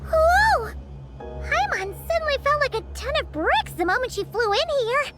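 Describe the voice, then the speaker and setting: A woman voicing a tiny, excitable, childlike fairy companion in a video game, chirpy and full of exclamations. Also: very high voice, moderate pace, loud music behind the voice. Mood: excited. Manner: chirpy, exclaiming, refers to herself in third person. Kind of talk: animated video game voice acting.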